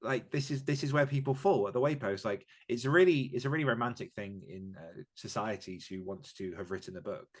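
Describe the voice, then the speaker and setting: A man's voice: medium pitch, animated, a little fast, hardly any pauses, slightly rough. A man talking as an interview guest, giving informal, candid and emphatic advice as an author in a conversational style.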